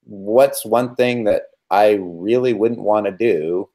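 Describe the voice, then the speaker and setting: A man's voice: lowish voice, slow pace, warm tone. A man around fifty, a podcast guest, candidly telling his own story with self-deprecating humor and emphatic repetitions.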